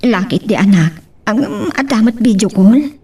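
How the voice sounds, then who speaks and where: A careworn, self-sacrificing mother in an Ilocano drama, acted by a woman. Her voice is mellow and breathy, recorded slightly away from the microphone. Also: mid-range voice, lively intonation, normal speed, recorded from a distance. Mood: firm, sorrowful. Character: burdened, self-sacrificing mother whose husband is missing. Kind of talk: Ilocano family radio-style drama acting.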